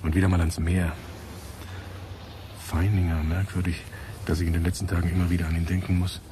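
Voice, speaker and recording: A man's voice: sits low, flat delivery, fairly quick, noisy background, close to an everyday voice. An actor playing a weary male scientist musing alone on his memories in a reflective radio drama monologue. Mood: pensive.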